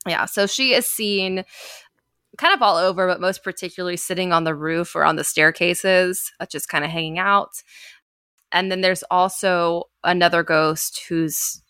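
A chatty woman hosts a casual storytelling podcast. She talks in an animated, loose way, full of filler words and playful sound effects. Her voice sits a little low but comes across bright and forceful, recorded close and dry. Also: moderate pace, smooth, no room sound. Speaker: podcast host.

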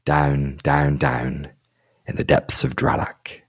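A man with a very low, deep voice tells the scene as game master. His narration is unhurried and dramatic, building each moment slowly. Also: big pitch swings, gravelly, fairly bright tone, husky. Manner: slow dramatic descriptive narration.

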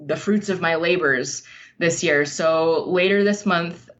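Low-voiced woman on dull lo-fi audio. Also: unhurried, fairly bright tone. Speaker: writer, interview guest. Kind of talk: conversational interview answers.

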